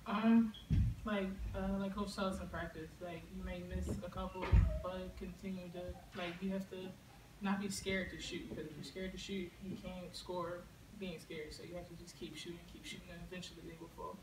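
A woman's voice: fairly low pitch, fairly even intonation, moderate pace. A young woman college basketball player answering at a postgame press conference, hesitant and leaning on filler phrases. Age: young adult. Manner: hesitant, leans on "like" and "I feel as though". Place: press room in an athletics building. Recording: roomy, distant audio with background noise.

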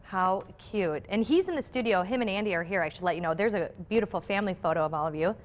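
A woman's voice: mid-range voice, expressive, fast. A young woman hosting a morning TV show, chatting casually as she interviews a guest in a studio kitchen. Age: young adult.

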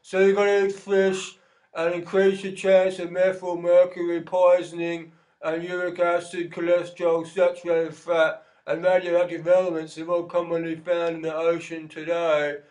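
A man voicing a slow-witted heavyset fisherman in a drawling, mocking voice. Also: on the high side, monotone, darkish tone, natural voice, low-quality recording.

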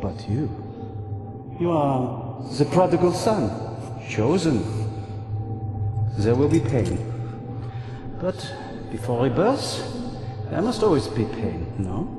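An actor playing a fanatical, coldly grandiose mad scientist in a video game, delivering theatrical, menacing speeches. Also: male voice, mid-range voice, big pitch swings, slow pace, pauses often. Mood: grandiose menace. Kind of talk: video game cutscene acting. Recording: echoey reverberant hall, loud music behind.

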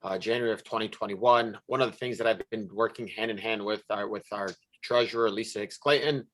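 A man speaking as a council candidate at a public forum, informal and a little halting. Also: lowish voice, fairly even intonation, low-quality recording. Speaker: city council member and candidate.

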